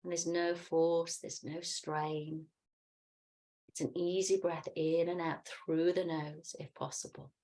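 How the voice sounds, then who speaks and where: A middle-aged woman with a low-pitched voice guides a relaxation practice. She gives calm, soothing instructions on breath and gentle movement, leaving unhurried pauses between phrases. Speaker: yoga and meditation teacher.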